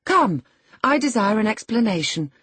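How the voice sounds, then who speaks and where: A woman's voice: mid-range voice, sing-song, unhurried, warm tone, breathy edge, dry recording, voice pushed up, heavily acted. A woman voicing a spirited, impatient young lady in an audiobook, proud, emphatic and demanding. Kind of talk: audiobook character acting.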